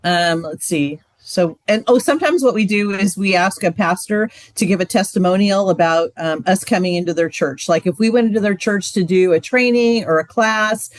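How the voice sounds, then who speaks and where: A woman's voice: mid-range voice, normal speed, hardly any pauses, dry recording. A middle-aged woman giving a casual, chatty training talk with lots of fillers. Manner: chatty, loose, frequent fillers. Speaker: ministry trainer.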